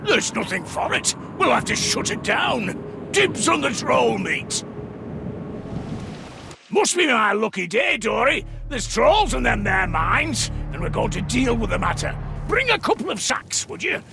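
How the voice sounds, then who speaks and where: A man voicing a gruff, boisterous dwarf engineer in a video game, hearty, colloquial and boastful, with a gravelly voice. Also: very high voice, sing-song, measured pace, over music, exaggerated voice. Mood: eager, wry. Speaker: mission-briefing game character. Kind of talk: scripted video game voice lines.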